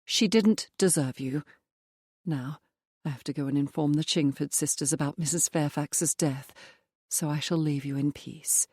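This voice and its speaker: A woman's voice: sits low, slightly rough, no echo, clean studio sound. A woman reading as a firm, composed young woman. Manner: firm, quiet and measured. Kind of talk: audiobook dialogue.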